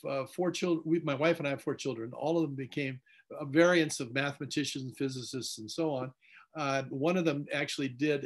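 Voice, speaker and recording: Older man lecturing on a video call. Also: a little high. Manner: deliberate, repeats words, rises when emphatic. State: tired. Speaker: guest lecturer answering questions. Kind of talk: academic Q&A over video call. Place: home study with bookshelves.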